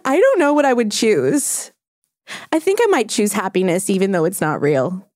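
A woman's voice: mid-range voice, big pitch swings, normal speed, a little mellow, dry recording, studio quality. A woman hosting a podcast in casual banter between friends, with a blunt, teasing, sweary manner. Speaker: pop culture podcast host.

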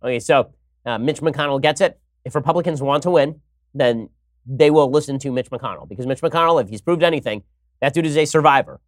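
A man's voice: mid-range voice, brisk, dry recording. Sarcastic male political commentator, dry sound. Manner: sarcastic, wry. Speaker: host.

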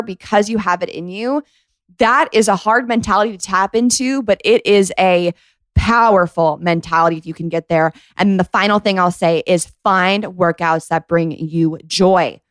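A woman's voice: mid-range voice, measured pace, dry recording, studio quality. A woman in her twenties hosting a podcast, giving casual advice in a chatty, emphatic way with slangy asides. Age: 20s. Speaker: podcast host. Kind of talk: casual advice talk to listeners.

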